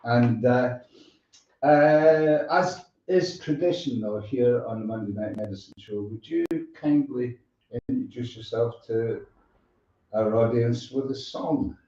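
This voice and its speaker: A man's voice: medium pitch, slow, mellow. A man hosting a casual live interview chat in an easygoing, rambling way. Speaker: show host. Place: indoors at a musician's home. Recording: roomy, distant mic, dull sound.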